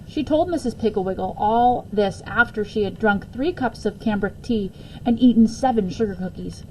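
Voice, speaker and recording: A woman reading a children's book aloud as an expressive storyteller. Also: mid-range voice, moderate pace, slight background noise, low-quality recording. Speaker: story reader.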